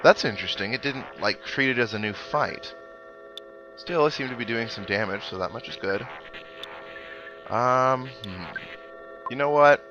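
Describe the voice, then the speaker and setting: A man's voice: mid-range voice, moderate pace, long pauses, highs cut off. A man giving casual video game commentary, thinking aloud with analytical asides as he plays. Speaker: let's player. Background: video game music and sound effects.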